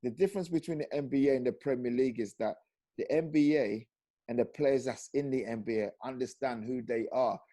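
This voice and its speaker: A man, a guest on a video-call panel, talking passionately and rising to loud emphatic peaks. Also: mid-range voice, a little fast. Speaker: panel guest, former professional footballer.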